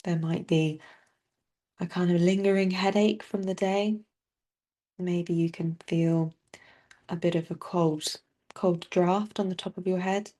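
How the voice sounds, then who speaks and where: Slow, mellow woman guiding meditation. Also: lowish voice, fairly even intonation. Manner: soft, unhurried, soothing delivery with long pauses. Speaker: meditation teacher. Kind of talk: guided body scan meditation.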